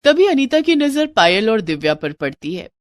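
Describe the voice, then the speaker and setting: A woman's voice: a little high, sing-song, normal speed, no room sound, studio quality. A woman narrating a scripted moral story as a storyteller.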